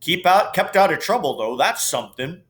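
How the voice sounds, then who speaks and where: A man's voice: fairly high pitch, expressive, moderate pace, a bit bright. A man voicing a big, genial, rustic male storybook character with a folksy way of talking.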